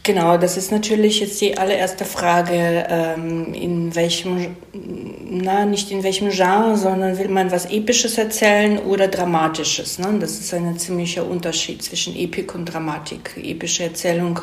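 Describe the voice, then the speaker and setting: A woman's voice: on the low side, steady tone, moderate pace. A woman giving casual explanations as an interview guest, thoughtful and hesitant, often correcting herself and checking for agreement. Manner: thoughtful, hesitant, self-correcting, seeks agreement with tag questions. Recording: roomy echo.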